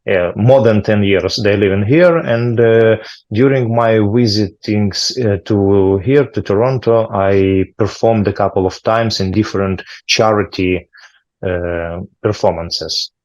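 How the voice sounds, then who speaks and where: A middle-aged man from Ukraine, a musician answering interview questions in halting with many fillers and restarts. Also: lowish voice, darkish tone, dry recording, lo-fi sound. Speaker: musician guest.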